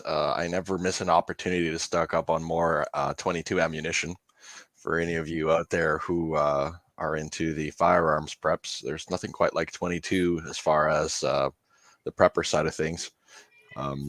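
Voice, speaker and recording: A man with a low, deep voice talks casually as a podcast panelist. The recording is close and dry, with hardly any room sound. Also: moderate pace, a bit bright, dry recording. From Canada. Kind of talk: casual chat.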